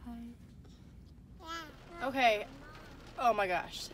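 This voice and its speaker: A woman's voice: a little high, drawn-out words. A young woman, congested from a cold, chatting to a phone camera held at arm's length in a car, tired and rambling. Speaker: family vlogger. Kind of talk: casual chat to camera. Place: inside a parked car.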